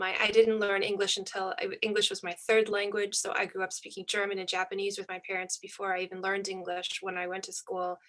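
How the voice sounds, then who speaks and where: Woman author, bright tense tone, reflective and searching, on a video call. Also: medium pitch. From United States. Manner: thoughtful, searching, frequent fillers and restarts. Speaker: author and panelist. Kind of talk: reflective conversation.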